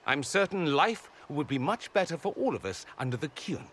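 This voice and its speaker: A sarcastic mage with swooping delivery. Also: male voice, fairly high pitch, sing-song, moderate pace, fairly bright tone, slight background noise. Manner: drawling sarcasm. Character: haughty, sarcastic mage, sneering and theatrical. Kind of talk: video game dialogue.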